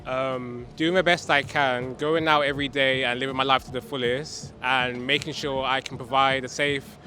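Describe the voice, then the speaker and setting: A man's voice: mid-range voice, flat delivery, moderate pace, bright, forceful tone. A young man giving earnest, casual opinions as an interviewee. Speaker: street interviewee.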